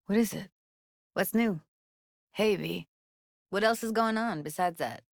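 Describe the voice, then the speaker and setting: A woman's voice: mid-range voice, unhurried, gravelly, dry recording, clean studio sound. An actress playing a young, streetwise female techie in a video game, blunt and guarded but emotional, speaking in casual, clipped, intimate slang. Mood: dry, casual. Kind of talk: naturalistic video game dialogue acting.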